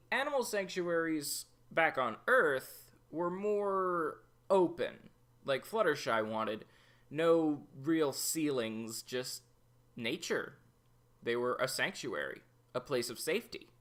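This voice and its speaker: A man delivers episode commentary as the host of a review show. His voice is bright and quite animated in pitch, yet he takes his time, laying out opinions slowly and deliberately.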